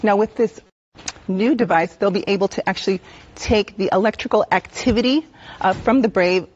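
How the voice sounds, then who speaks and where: Casting: American woman, 30s, medical expert guest on TV news, informative, plain-spoken, mid-pitched, slightly muffled broadcast sound. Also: moderate pace, a little mellow. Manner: informative, explains in plain terms. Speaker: emergency room nurse and expert guest. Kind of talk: explanatory live TV interview. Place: TV news studio. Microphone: lapel mic.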